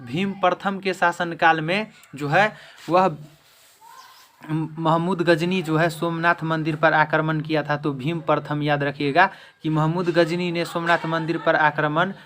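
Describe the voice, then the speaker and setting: Bright, high-voiced man teaching. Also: flat delivery, measured pace. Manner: repetitive, emphatic restating of key points. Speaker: exam-prep teacher and video channel host. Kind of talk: quiz lesson, explaining question and answer. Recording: clear background noise.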